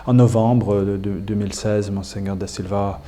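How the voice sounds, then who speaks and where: A man's voice: fairly low pitch, fairly even intonation, moderate pace, background noise. A man, a bishop answering interview questions with many hesitations and restarts. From Canada. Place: chapel.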